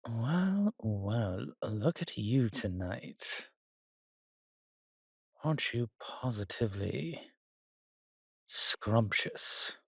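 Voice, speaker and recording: Man acting a slow, husky, roguish old vampire. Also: fairly low pitch, lively intonation, a bit bright, dry recording, studio quality, heavily acted. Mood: seductive, teasing. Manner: theatrical, archaic turns of phrase, teasing. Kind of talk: intimate roleplay audio drama.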